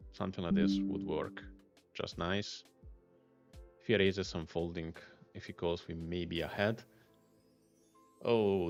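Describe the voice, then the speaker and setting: A man's voice: deep voice, vocal fry, highs cut off. A man with an Italian accent, talking through his poker hands on a live stream, thinking aloud and muttering hand names. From Italy.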